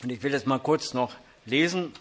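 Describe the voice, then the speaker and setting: An older man preaching in a church hall, his light Berlin accent showing through. He speaks in an earnest, measured way, pausing and hesitating often as he talks and reads from the Bible. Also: medium pitch, a bit bright. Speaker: traveling preacher. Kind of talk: sermon with Bible reading.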